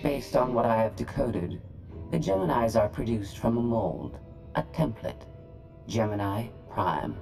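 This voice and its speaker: A woman's voice: rumbling low, unhurried, dark tone, faint music behind. A woman voicing a proud, disdainful droid intelligence in a game, formal, clipped and detached. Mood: cold, haughty. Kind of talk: scripted game cutscene dialogue.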